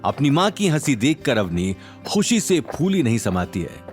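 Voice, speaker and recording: Male drama narrator, animated pitch movement, scripted storytelling over light music. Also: moderate pace.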